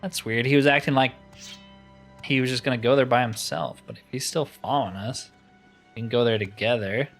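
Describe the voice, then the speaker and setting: A man's voice: medium pitch, a little fast. Man casually narrating his game over music. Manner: informal, thinking aloud. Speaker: gamer, video game roleplayer. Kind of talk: casual let's play commentary.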